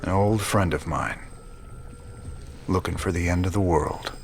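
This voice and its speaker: An actor playing a world-weary, embittered rebel commander in a video game, gruff and laconic with a folksy turn of phrase. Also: male voice, sits low, a little slow, a little hiss or hum. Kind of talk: video game cutscene dialogue.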